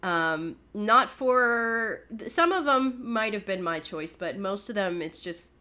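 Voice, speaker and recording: A woman's voice: medium pitch, animated, slow, pushed and bright. A young woman from Canada, co-hosting a podcast and telling her life story in a candid, chatty way, often laughing at herself. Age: young adult. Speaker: podcast co-host. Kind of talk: casual personal storytelling.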